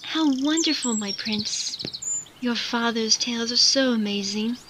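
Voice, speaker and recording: A woman's voice: on the high side, measured pace, lightly acted. Woman as an eager royal admirer, noisy background. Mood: delighted. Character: admiring, eager subject of a young prince. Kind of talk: fan audio drama acting.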